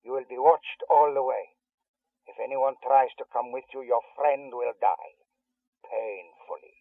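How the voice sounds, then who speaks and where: Coldly menacing kidnapper on tinny phone. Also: male voice, mid-range voice, steady tone, no room sound. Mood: measured threat. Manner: cold, measured, politely threatening. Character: coldly menacing male kidnapper issuing ransom instructions. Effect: telephone line. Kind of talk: radio spy thriller acting. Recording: tinny narrow phone-line sound.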